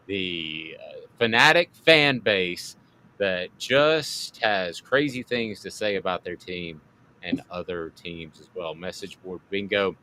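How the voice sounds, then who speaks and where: Male sports radio host, hammy hype man, lowish and forceful. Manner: hammy, announcer-style hype. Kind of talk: sports talk radio banter.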